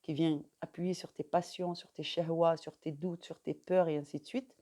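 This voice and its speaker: Calm French-speaking woman teaching. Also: sits low. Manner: calm, measured, pausing often with fillers. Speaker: religious teacher. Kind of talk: instructional talk to camera.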